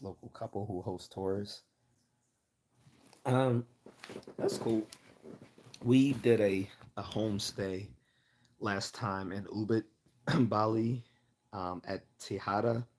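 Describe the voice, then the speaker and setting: A man hosting a casual livestream Q&A, chatting and rambling as he loses his voice and keeps clearing his throat. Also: fairly low pitch, a little mellow. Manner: rambling, chatty, laughs often. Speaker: livestream host.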